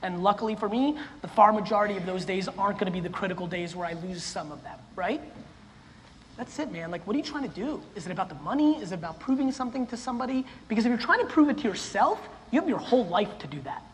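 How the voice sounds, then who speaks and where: A man's voice: high voice, expressive, quick pace. A man born in the former Soviet Union, speaking bluntly and intensely with some swearing as a keynote speaker answering audience questions on a stage. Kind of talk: live audience Q&A. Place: stage of a large hall before an audience. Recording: long hall reverb, faint noise.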